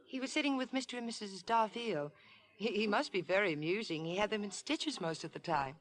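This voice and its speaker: An actress playing a composed, affectionate sweetheart in a 1950s radio drama, gently questioning and reassuring. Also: female voice, mid-range voice, a little fast, natural voice. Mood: puzzled, gentle. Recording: vintage 1950s radio broadcast with music.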